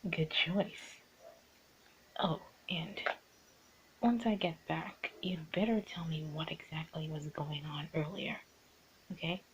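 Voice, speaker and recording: A woman's voice: low-pitched, steady tone, slow, pauses often, a little hiss or hum, rough recording. A woman playing a teasing, bossy young art student in an improvised ASMR roleplay, often slipping into a half-whisper. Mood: sly teasing.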